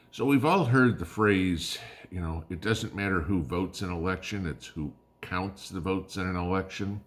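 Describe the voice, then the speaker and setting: Man, fifties, very low voice, hoarse, blunt and combative, casual monologue. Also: lively intonation, unhurried, a bit bright. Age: 50s. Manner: blunt, combative, repeats "okay?". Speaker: prepping channel host.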